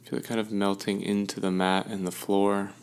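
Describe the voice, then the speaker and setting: A man leading a guided meditation with gentle, soothing instruction and unhurried pauses. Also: on the low side, flat delivery, clear, resonant tone.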